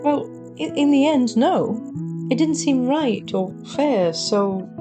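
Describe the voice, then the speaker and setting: A woman's voice: high voice, lively intonation, slow, music in the background. A woman playing an earnest, lovestruck young wizard's apprentice with a hesitant manner in an audio drama. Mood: confessing. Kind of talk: scripted audio drama dialogue.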